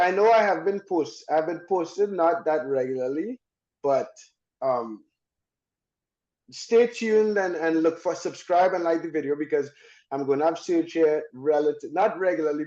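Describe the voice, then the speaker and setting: A man's voice: a little high, dark tone, echoey room, dull audio. A man hosting a casual interview, eager and chatty, often restarting his sentences. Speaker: host.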